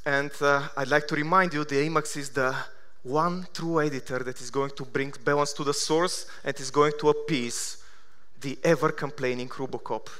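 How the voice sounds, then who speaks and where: A man in his thirties from Bulgaria with a Bulgarian accent, giving a conference keynote with self-deprecating deadpan humor. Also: fairly high pitch, normal speed, few pauses, clear, resonant tone. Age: 30s. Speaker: conference speaker. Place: large conference hall.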